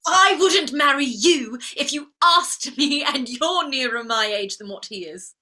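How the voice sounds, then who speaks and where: Proud young flower girl played by an actress, stage drama, wounded yet defiant, high voice with very lively swings. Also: measured pace, heavily acted. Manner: defiant, plaintive, emotionally outspoken. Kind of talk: theatrical staged play reading.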